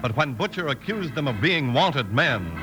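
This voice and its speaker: A man's voice: mid-range voice, sing-song, normal speed. An American man narrating a western story as announcer, in dramatic scripted old-time radio style. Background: music.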